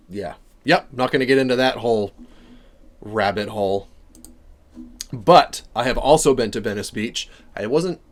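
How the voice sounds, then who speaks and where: An American man in a casual reaction-commentary style. His pitch moves widely and lively as he rambles through jokey asides, often cutting across his own sentences. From Maine, United States. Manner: animated, self-interrupting, jokey. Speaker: reaction video host.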